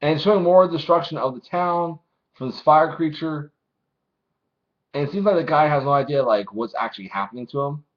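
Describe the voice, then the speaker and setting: A man's voice: fairly high pitch, normal speed, lo-fi sound. A man doing a casual, informal read-along, narrating a story with his own commentary and some swearing. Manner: informal, swearing, acts out dialogue. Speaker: manga reviewer reading chapters aloud.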